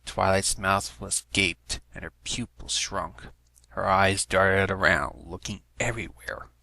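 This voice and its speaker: A man's voice: deep voice, steady tone, a little slow, gravelly, pushed and bright. A man giving a scripted narration of a story as an amateur reader. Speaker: amateur fan fiction reader.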